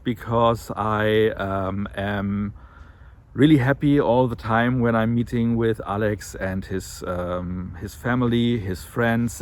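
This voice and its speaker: Nasal man in casual vlog chat. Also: lowish voice. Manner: rambling, many fillers and self-corrections. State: slightly nasal from allergy. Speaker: weight loss vlogger. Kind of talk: casual monthly vlog talk.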